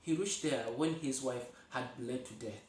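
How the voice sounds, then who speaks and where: A man from Uganda with a Ugandan accent and Luganda as his native language tells folktales in an animated way, speaking directly to his listeners. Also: mid-range voice, a little slow. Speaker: storyteller, host. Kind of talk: oral folktale storytelling. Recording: echoey room, far from mic.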